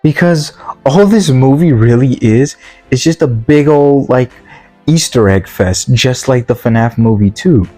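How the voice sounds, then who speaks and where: Rambling young man with a mellow, worn voice. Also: mid-range voice, lively intonation, unhurried, no room sound, soft background music. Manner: rambling, full of fillers and restarts, blunt. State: tired, worn-out voice. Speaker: video game movie reviewer. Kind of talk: casual spoken review.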